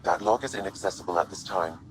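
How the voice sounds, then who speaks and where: Man as low-voiced, precise AI assistant. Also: quick pace, a little hiss or hum, close to an everyday voice, poor audio. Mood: calm, factual. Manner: formal, precise, deadpan. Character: calm, literal-minded male artificial intelligence assistant. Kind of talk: video game voice acting.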